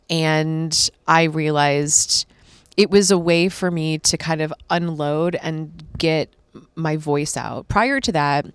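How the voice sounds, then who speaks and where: A woman's voice: sits low, clear, resonant tone, dry recording. A woman in her late thirties, a stand-up comic, talking candidly and at length in a casual podcast chat. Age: 30s. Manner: candid, rambling, self-reflective. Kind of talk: casual conversation.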